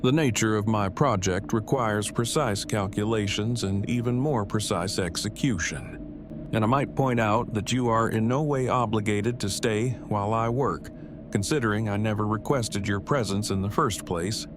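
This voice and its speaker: A man playing a stiff, low-voiced pedantic inventor. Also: moderate pace, background noise, lightly acted. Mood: measured, earnest. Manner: formal, verbose, precise wording. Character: Eugene, stiff, pedantic, awkward but earnest inventor man. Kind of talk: fan audio drama acting.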